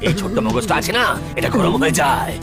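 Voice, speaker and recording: A man voicing a touchy, hot-headed gangster crook in a cartoon dub, exasperated and whining with loud outbursts. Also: fairly high pitch, lively intonation, fast talker, gravelly, over loud music. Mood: irritated.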